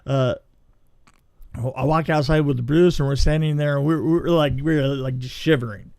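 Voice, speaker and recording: A man's voice: a little high, steady tone, normal speed, gravelly, a little mellow, clear, resonant tone, no room sound, clean studio sound. A man casually telling anecdotes from film and TV work as a guest, chatty and given to acting out remarks.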